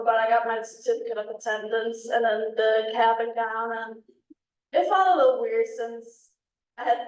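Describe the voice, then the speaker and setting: A woman's voice: a little high, a little mellow. A young woman from Denmark with a Danish accent, chatting casually about her exchange year at a club meeting, with plenty of ums and likes. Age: young adult. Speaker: exchange student. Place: meeting room. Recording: echoey room from far off.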